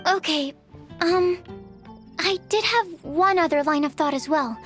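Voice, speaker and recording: An actress playing a gentle young woman alchemist in a video game, earnest, analytical and a little hesitant. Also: very high-pitched, a little slow. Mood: hesitant, thoughtful. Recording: music behind the voice.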